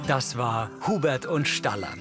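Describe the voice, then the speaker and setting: Matter-of-fact male narrator, medium pitch. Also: expressive, unhurried, over music. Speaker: audio description narrator. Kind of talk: scripted narration of film scenes.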